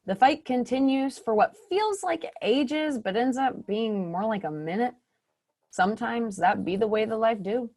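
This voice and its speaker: A woman's voice: on the high side, measured pace, a little mellow. A woman playing a vain, sarcastic narrator who boasts and makes snarky asides in a community stage play. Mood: wry.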